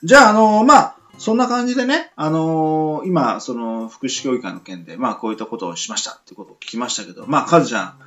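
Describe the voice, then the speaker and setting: Casting: female podcast host, western Japanese accent, extremely low register, animated delivery, teasing banter for a loose two-person chat. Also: fast, few pauses. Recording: muffled band-limited sound over music. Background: music.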